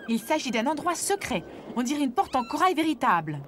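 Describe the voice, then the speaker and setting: A woman voicing an eager, adventurous young boy in a cartoon, excitable and cheeky. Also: high-pitched, a little fast, slight background noise. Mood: excited. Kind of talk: cartoon dub in French. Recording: music behind the voice.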